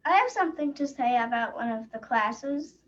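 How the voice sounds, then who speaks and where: A female student with a high-pitched voice makes short, casual remarks. She is simple and enthusiastic in her phrasing, heard through dull, lo-fi video call audio. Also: animated, normal speed. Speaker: theater class student.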